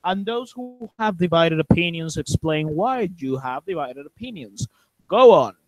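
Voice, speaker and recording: Man moderating a slow video chat. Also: fairly high pitch, lively intonation, a little mellow, rough recording. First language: Spanish. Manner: slow, careful non-native phrasing. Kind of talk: online group chat moderating. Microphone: video call.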